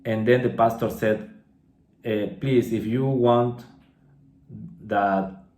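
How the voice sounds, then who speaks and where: A Peruvian man in his thirties, a native Spanish speaker, telling a personal testimony in English at a slow, clear pace and often restating his words with "okay?" and "right?". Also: mid-range voice, steady tone, a little mellow, roomy sound. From Peru. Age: 30s. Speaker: English teacher sharing a testimony.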